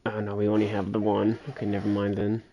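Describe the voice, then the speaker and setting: A man's voice: lowish voice, monotone, fast, warm tone, muffled recording, a little hiss or hum. A man livestreaming a video game, casually thinking aloud and muttering to himself as he plays. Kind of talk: casual solo gameplay commentary, thinking aloud. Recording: faint game music behind voice. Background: faint video game music.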